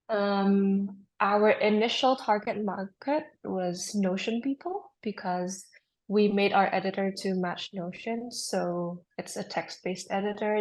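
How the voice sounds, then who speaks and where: A young woman from Indonesia talks through her startup in a casual interview. She sounds upbeat and explanatory, sometimes pausing on an um. Her voice sits at a middle pitch. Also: a little mellow, poor audio. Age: young adult. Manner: upbeat, explanatory, with frequent ums. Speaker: startup co-founder guest.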